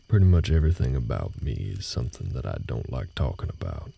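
A man playing a gruff, guarded cowboy ranch hand in an audio roleplay, talking in a slow, folksy, halting way with a husky, gravelly voice. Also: extremely low pitch, dark tone, slight background noise, heavily acted. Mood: guarded, grudging. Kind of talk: intimate scripted audio roleplay, slow speech to the listener.

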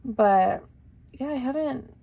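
A young woman talks to her camera in a loose, rambling way, full of likes and ums. Her voice is raspy and gravelly, with a dark, mellow tone. She sounds close to a desk camera in a small room. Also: high voice, expressive, takes it slowly. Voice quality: raspy, dry morning voice. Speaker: vlogger. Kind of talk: casual chat to camera.

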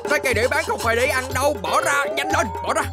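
Loud chubby cartoon fruit seller. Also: male voice, very high-pitched, fast. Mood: annoyed. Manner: loud, hawking, excitable. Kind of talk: cartoon dub acting. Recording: music playing behind.

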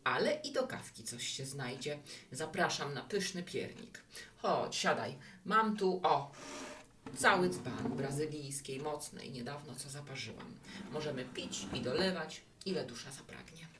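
A woman's voice: deep voice, animated, measured pace, recorded from a distance, noisy background. An actress playing a chatty, romantic, hospitable woman who runs a bar in an audio drama. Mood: welcoming. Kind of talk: Polish audio drama acting.

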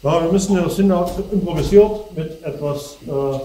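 A man's voice: on the high side, moderate pace, warm tone, a little hiss or hum. A middle-aged man with a cold, lecturing to an audience while standing and gesturing. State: has a cold. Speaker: speaker. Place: darkened hall before a projection screen. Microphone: far camera. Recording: echoey hall from far off.

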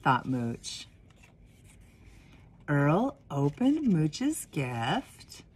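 A woman in her forties reading a children's story expressively, with deliberate pauses and emphasis. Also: low voice, very animated, breathy edge. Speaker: minister of religious education reading a picture book.

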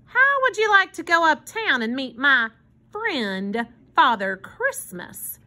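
A woman's voice: high-pitched, very animated, slow pace, sharp tone, voice pushed up, exaggerated voice. Woman putting on a blustering old male hobo. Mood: boastful, coaxing. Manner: blustering, protesting, colloquial. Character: gruff, grumbling old male hobo with a soft heart.

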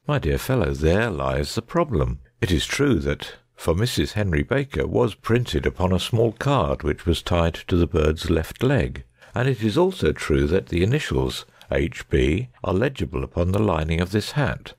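A man playing a keen, analytical gentleman detective in a dramatic audiobook reading, precise, discursive and wry. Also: sits low, very animated, normal speed, few pauses, breathy edge, dry recording, studio quality, full character voice. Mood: explaining.

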